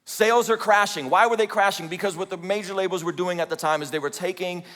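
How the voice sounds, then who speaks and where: A man's voice: high voice, fast talker, fairly bright tone. A man in his forties from Azerbaijan, telling his life story with self-deprecating jokes as a conference speaker. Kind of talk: personal story talk to a conference audience. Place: large conference hall. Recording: long hall reverb.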